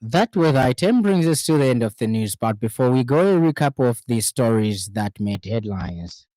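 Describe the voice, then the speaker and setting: A male radio news presenter with a Zambian accent reads a bulletin from a script. His delivery is formal and measured, at a middling pitch. The sound is dry, as in a studio. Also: expressive, warm tone, dry recording.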